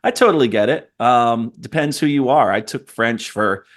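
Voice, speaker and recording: A man's voice: mid-range voice, moderate pace. A man in his forties hosting a podcast, talking in a thoughtful, rambling, storytelling way. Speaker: podcast host. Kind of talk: casual conversation.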